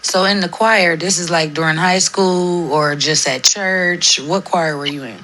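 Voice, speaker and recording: A woman's voice: fairly low pitch, measured pace, sharp tone. Chatty, slangy woman host. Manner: chatty, laughs easily, slangy. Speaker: podcast host. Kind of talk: casual interview.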